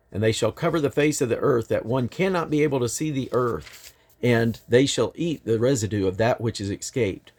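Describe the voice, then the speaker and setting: A man reading the Bible aloud in deliberate, emphatic phrases, with an occasional stumble. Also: mid-range voice, darkish tone. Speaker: Bible reader.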